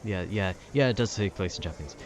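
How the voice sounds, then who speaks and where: A man with a very deep voice rambles in a casual, conversational way. He sounds nerdy and meanders from thought to thought like a gamer chatting. Clear ambient noise runs behind his voice. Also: expressive, fast. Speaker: content creator. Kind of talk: casual rambling monologue about video games.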